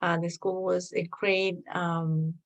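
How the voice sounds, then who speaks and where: A woman from Argentina with an Argentinian Spanish accent, a native Spanish speaker, answering a practice interview question hesitantly with many pauses. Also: fairly low pitch, little pitch movement. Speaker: workshop participant.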